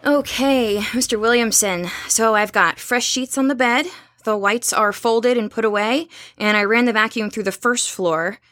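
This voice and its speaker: A woman's voice: on the high side, moderate pace, hardly any pauses, a bit bright, no room sound, studio quality, close to an everyday voice. An actress playing a caring, friendly young woman with a casual manner, in a naturalistic audio drama. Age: young adult. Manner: casual, considerate.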